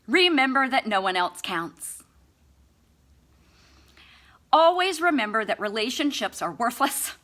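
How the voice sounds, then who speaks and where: A woman's voice: a little high, big pitch swings, a little slow, pauses often, bright, forceful tone. A woman reading a book aloud expressively, with a sarcastic, mock-earnest and theatrical delivery. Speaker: amateur audiobook reader.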